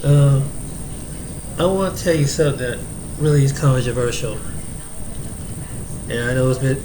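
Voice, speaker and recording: A man's voice: medium pitch, noisy background. A man hosting his own video, talking casually with a stuffed-up, congested voice and frequent ums. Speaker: video host. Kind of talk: casual talk to camera.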